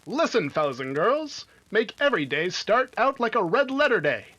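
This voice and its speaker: A man's voice: sits high, very animated, moderate pace, bright, forceful tone, muffled recording, full character voice. A man playing a hearty, emphatic announcer and narrator of a 1950s radio adventure serial. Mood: hearty selling. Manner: dramatic, emphatic.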